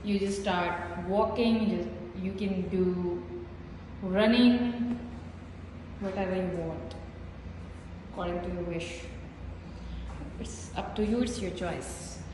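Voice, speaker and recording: A woman vlogger giving a slow, simple walk-through of a small indoor gym, filming on her phone and pointing out each machine. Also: mid-range voice, darkish tone, a little hiss or hum. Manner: simple repetitive pointing-out explanations. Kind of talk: casual gym tour vlog. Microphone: phone held while filming. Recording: echoey room with hall reverb.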